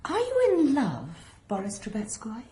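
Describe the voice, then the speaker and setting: An actress playing a worldly, imperious married countess, arch and drily mocking, in a radio drama. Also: female voice, a little high, huge pitch swings, normal speed, dark tone, a little hiss or hum. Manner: arch, cool, commanding.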